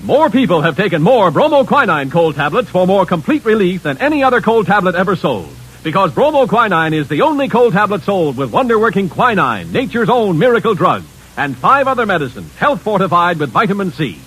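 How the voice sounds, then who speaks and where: Casting: male old-time ad announcer, high voice, animated emphatic sales delivery. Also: a little fast, breathy edge. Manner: emphatic, selling. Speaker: commercial pitchman. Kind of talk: 1950s radio advertisement read, scripted. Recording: vintage radio broadcast.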